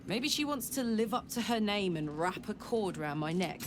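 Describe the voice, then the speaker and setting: A woman's voice: medium pitch, lively intonation, normal speed, close to an everyday voice. An actress playing a tough, blunt female fighter in a video game, with a wry, sardonic manner. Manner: wry, blunt, casual swearing. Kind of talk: video game character dialogue. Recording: constant noisy ambience behind voice.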